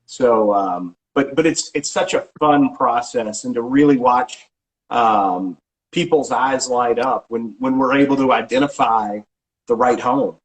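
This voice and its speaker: A man's voice: mid-range voice, low-quality recording. Hesitant middle-aged male real estate agent. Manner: halting, many restarts and ums. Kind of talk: casual interview answers.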